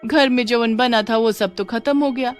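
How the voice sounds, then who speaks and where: A woman's voice: fairly high pitch, normal speed, soft background music, voice pushed up, natural voice. A woman playing a rustic, easily alarmed wife with an in a folktale. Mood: puzzled. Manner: exclaiming, animated. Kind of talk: dramatized Hindi horror folktale.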